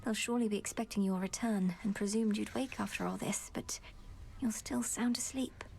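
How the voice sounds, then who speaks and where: A woman playing an earnest young underworld princess in a video game, speaking formally and with measured courtesy. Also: medium pitch, steady tone, gravelly, darkish tone, slight background noise, close to an everyday voice. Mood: gentle, sincere. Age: young adult.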